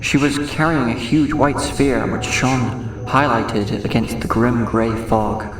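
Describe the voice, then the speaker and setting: A man's voice: mid-range voice, steady tone, takes it slowly, lightly acted. A man playing a haunted narrator who recounts a seaside horror tale in a grave, literary manner for an audio drama. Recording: very echoey, distant, faint background noise.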